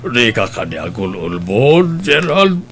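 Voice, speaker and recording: A man's voice: mid-range voice, sing-song, a little slow, fairly bright tone, slight background noise. A man playing a grave, questioning older father of a grown daughter in a radio drama. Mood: stern, doubting.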